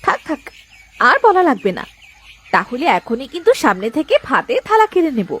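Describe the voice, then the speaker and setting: A woman's voice: high-pitched, sing-song, fairly quick, a bit bright. A woman playing a caring, scolding village fisherman's wife in a cartoon, fussing and coaxing. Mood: mock-scolding. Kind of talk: Bengali cartoon moral-story dialogue.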